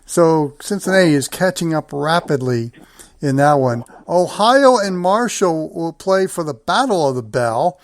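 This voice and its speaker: A man's voice: fairly high pitch, expressive, measured pace, no room sound. A man hosting a sports show, running through matchups and results in conversation with a guest.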